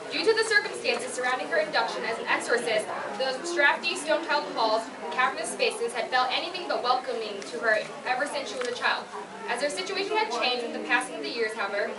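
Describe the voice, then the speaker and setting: A woman co-hosting a live fan panel, talking and reading aloud to an audience. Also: high voice. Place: echoey room at a fan convention. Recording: echoey, distant mic, loud crowd. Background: noisy audience.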